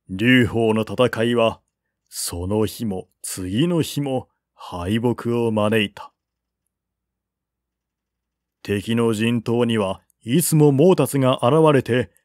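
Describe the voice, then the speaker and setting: Male audiobook narrator for historical fiction: measured, unhurried, mid-pitched, recorded dry. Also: a little mellow, no echo. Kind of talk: dramatic reading of a historical novel.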